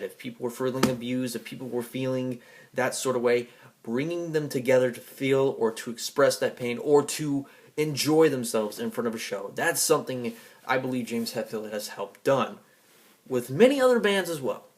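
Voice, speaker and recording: A man's voice: mid-range voice, normal speed. A man giving a rambling personal monologue to an audience in an emphatic, defiant, profanity-laced way. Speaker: vlogger and music fan.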